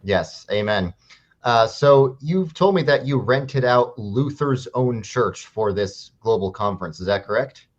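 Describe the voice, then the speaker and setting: A man's voice: mid-range voice, lively intonation, unhurried, lo-fi sound. A male YouTube host introduces his guests and asks them questions in an upbeat, affirming way. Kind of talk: hosting an online interview.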